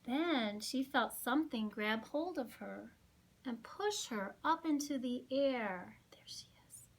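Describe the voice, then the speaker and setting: A woman reading a picture book aloud to young children, with dramatic, drawn-out phrasing and little asides. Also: on the high side, animated, rough recording. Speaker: children's storytime reader.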